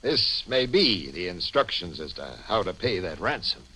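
An actor playing a no-nonsense homicide police captain in a 1950s radio crime drama, businesslike and commanding, with some slang. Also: male voice, low-pitched, big pitch swings, moderate pace, pushed and bright, husky, faint music behind, full character voice, rough recording. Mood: brisk, commanding.

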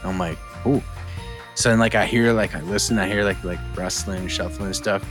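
A man co-hosting a casual podcast, telling a story with lots of fillers and laughter, sometimes dropping to a hushed voice. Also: low voice, brisk, over music. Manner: laughs often, rambling with many fillers, drops to hushed tones. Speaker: podcast co-host.

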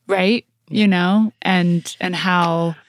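Female co-host, slightly low voice, casual interviewer delivery, very dry recording. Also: fairly even intonation, drawn-out words, no echo. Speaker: podcast co-host.

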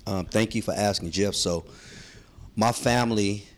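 A man's voice: fairly low pitch, a little slow. A man, talking casually and earnestly as a podcast guest, with slang and repeated phrases for emphasis. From San Francisco, United States. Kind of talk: casual interview storytelling.

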